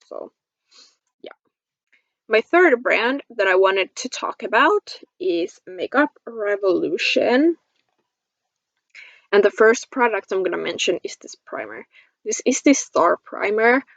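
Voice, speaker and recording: A woman's voice: mid-range voice, vocal fry, dry recording, lo-fi sound. A woman from Finland chatting casually about products as a beauty vlogger, in a gushing, giggly manner. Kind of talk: casual product review chat to camera.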